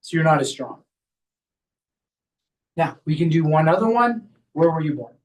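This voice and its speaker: High-voiced man leading a clinic workshop. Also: animated, moderate pace, distant mic. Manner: explains step by step while guiding a volunteer. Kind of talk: hands-on demonstration talk.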